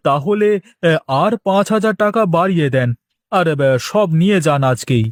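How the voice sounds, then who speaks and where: A man's voice: high voice, moderate pace, dry recording, clean studio sound, natural voice. A man playing an accommodating vegetable farmer in a cartoon, bargaining in a coaxing, hesitant way. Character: haggling, accommodating male farmer selling vegetables. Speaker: cartoon character.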